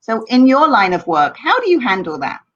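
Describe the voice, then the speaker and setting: A woman's voice: fairly high pitch, sing-song, moderate pace. A woman interviewer asking questions in a conversational style. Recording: dull, lo-fi sound.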